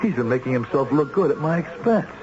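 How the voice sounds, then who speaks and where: A man's voice: mid-range voice, expressive, quick pace, lo-fi sound, lightly acted. An actor playing a respectable family man turned self-assured hired killer in an old-time radio drama, speaking emphatically and insistently. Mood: boastful, fervent. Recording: band-limited old radio recording with music.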